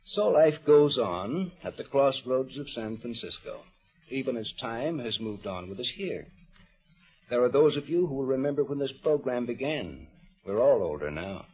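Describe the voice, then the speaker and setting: Expressive, husky man narrating old radio. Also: medium pitch. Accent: American. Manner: literary, measured storytelling. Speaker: radio program host. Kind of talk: scripted mid-century radio narration. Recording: muffled old radio recording.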